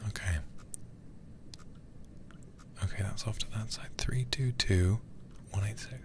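A man livestreaming a horror game in ASMR style, murmuring softly and often whispering, close to the microphone. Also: lowish voice, unhurried, heavy background noise.

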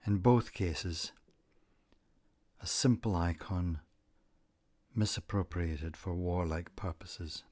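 A man narrating a scripted guided meditation, giving gentle instructions in a calm, deliberate manner. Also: sits low, no room sound.

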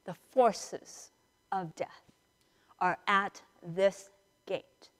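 A middle-aged woman priest giving a conversational storytelling sermon in a large church, acting out dialogue with dramatic pauses. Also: medium pitch, fairly even intonation. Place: large church sanctuary, indoors, standing and moving before the altar. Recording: reverberant church hall, picked up in a large space.

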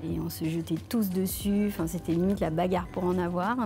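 A woman's voice: lowish voice, steady tone, a little fast. A woman in her thirties from France, a head chef answering interview questions with many fillers and restarts, filmed in a leafy outdoor courtyard on a lapel mic. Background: soft background music.

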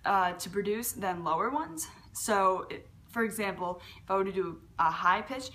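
A young woman with a fairly low voice for a woman, hosting a casual beatbox tutorial from her living room and talking to a webcam, with lots of fillers and restarts. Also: expressive, moderate pace, noisy background. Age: young adult. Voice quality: lower voice for a woman. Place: large living room at home.

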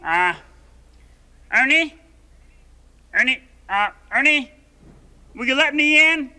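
Fretful male puppet roommate, high-pitched and sharp, exasperated and pleading. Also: animated, slow pace, clean tone, in a large hall, heavily acted. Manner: fretful, pleading, prone to frantic outbursts. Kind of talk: children's TV puppet comedy.

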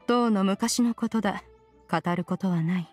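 Woman voicing dignified immortal adept, middle-pitched. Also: moderate pace, a little mellow, husky, soft background music, lightly acted. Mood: aloof, dismissive. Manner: stately, archaic, composed. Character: dignified, aloof female immortal crane adept, wise. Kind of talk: video game dub acting.

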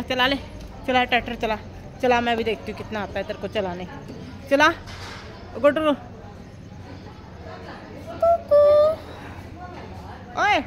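High-voiced woman vlogging on a phone. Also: fairly bright tone. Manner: coaxing, playful baby talk to a bird. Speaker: travel vlogger. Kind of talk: casual vlog narration and talking to a pigeon. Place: outdoors at night beside a parked tractor on a bus trip. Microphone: phone camera held by hand. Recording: noisy phone recording outdoors.

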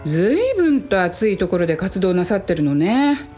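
A woman's voice: medium pitch, big pitch swings, normal speed, warm tone, soft background music, lightly acted. A woman playing a curious, worldly female company president in a radio drama, composed and inquisitive.

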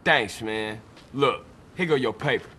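An actor playing a streetwise young American gangster with blunt, slangy talk in a video game. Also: male voice, medium pitch, a little slow. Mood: casual. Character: streetwise young male gangster, exasperated but loyal. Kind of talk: video game cutscene acting. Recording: loud helicopter and vehicle game noise.

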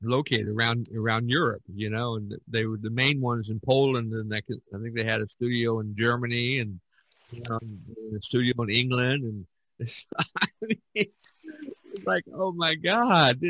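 A man's voice: mid-range voice, normal speed, sharp tone, poor audio. A man hosting a podcast in casual discussion, rambling with many fillers and restarts. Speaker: podcast host.

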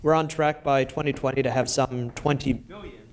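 A young man seated on an auditorium stage, speaking into a handheld microphone in a fluent, measured conference keynote. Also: medium pitch, fairly even intonation, noisy background. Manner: fluent, measured, gestures while talking.

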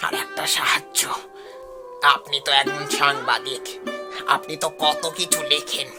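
A man's voice: on the high side, fairly even intonation, unhurried. Man as humble ghostly village father, music behind. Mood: sorrowful pleading. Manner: polite, plaintive, earnest. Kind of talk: animated horror story voice acting.

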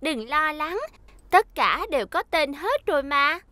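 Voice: high-pitched, expressive, a little slow, sharp tone, no echo, full character voice. An actor voicing an eager, kindly young male school bus in a children's cartoon dub, coaxing and reassuring. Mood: helpful.

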